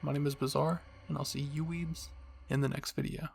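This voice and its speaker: A man reading a spooky fan-fiction story in soft, hushed ASMR narration. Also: on the high side, level tone, fairly quick, gravelly, slight background noise. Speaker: storyteller, channel host.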